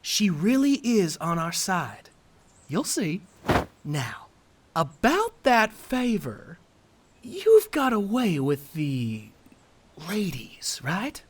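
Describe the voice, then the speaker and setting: A woman's voice: lowish voice, big pitch swings, very slow, slight background noise, full character voice. A woman playing a cheerful, eccentric, earnest teacher in a comic fan dub, theatrical and coaxing. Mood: firm, coaxing. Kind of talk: animated comic fandub acting.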